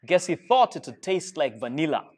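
Very animated Ugandan man reading aloud. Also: fairly high pitch, normal speed. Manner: animated, playful, encouraging to children. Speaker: storyteller reading to schoolchildren. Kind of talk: expressive read-aloud of a rhyming children's book. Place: indoor school reading room with seated children.

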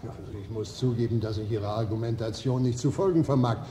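A man's voice: mid-range voice, fast talker, warm tone, lightly acted. A man playing a stern, strict judge in a radio play, speaking with formal, admonishing authority. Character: stern, strict male judge presiding over a trial. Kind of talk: 1970s German radio play acting. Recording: echoey courtroom hall reverb.

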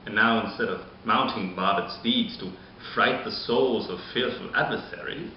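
Man acting a bitter scheming deformed nobleman, Shakespearean stage declamation, very slow, dramatic pauses, heavily echoing room. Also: on the low side, lightly acted. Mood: grand, sardonic. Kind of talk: theatrical Shakespearean monologue recitation. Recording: echoey room, muffled, faint hiss.

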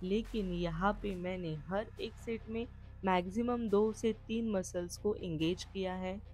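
A woman's voice: mid-range voice, steady tone, measured pace, a little mellow. Woman coaching a gym workout. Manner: explains steps and reminders directly to viewers. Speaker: fitness vlogger. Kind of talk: instructional voice-over.

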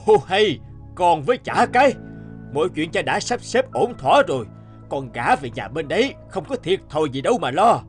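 Lively, stern old patriarch. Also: male voice, fairly high pitch, normal speed, faint music behind. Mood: exasperated. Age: older. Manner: scolding, patriarchal. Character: stern, stubborn elderly father of noble house. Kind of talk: animated folk-tale dialogue.

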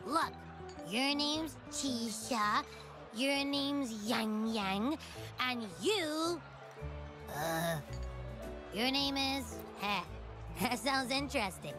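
A woman's voice: high voice, lively intonation, very slow, long pauses, full character voice. A woman voicing a small, cute, demanding creature in a video game, with a petulant childlike delivery. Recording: music behind.

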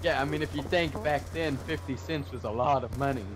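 A man gabs and laughs with friends while gaming. His voice sits at an ordinary pitch. His mic carries plenty of background noise. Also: fairly quick. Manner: joking, laughs often. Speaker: gamer in voice chat. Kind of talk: casual party chat while gaming.

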